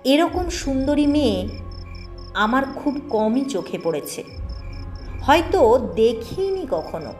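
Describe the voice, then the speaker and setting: A woman reading a scripted first-person ghost story in a measured, expressive storytelling manner. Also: sits high, soft background music. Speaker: audio story narrator.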